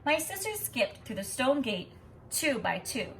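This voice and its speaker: A woman teacher reading a children's story expressively and pausing to explain it in an instructive, emphatic way. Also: high-pitched, big pitch swings, fairly bright tone, a little hiss or hum, poor audio. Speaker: kindergarten teacher.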